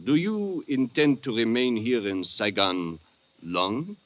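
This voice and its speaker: Formal, reserved Frenchman, mid-pitched and precise, French accent, dull 1950s radio sound. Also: expressive. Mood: polite, reserved. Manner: formal, measured, precise phrasing. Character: courteous, formal, reserved French man in colonial Saigon. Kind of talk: 1950s radio drama acting. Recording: dull vintage radio drama recording.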